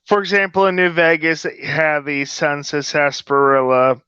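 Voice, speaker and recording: A man's voice: on the high side, level tone, normal speed, sharp tone, clean tone, dry recording, muffled recording. A man on a podcast panel, chatting casually about details. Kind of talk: casual chat.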